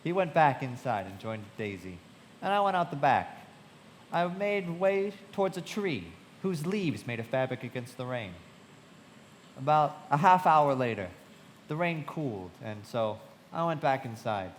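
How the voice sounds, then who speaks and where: A man playing a frank young storyteller in a live radio play, heard in a long, noisy hall reverb. His voice sits a little high and moves in lively swings as he delivers literary narration and dialogue. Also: unhurried. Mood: reflective, descriptive. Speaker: narrator and actor. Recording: long hall reverb, clear background noise.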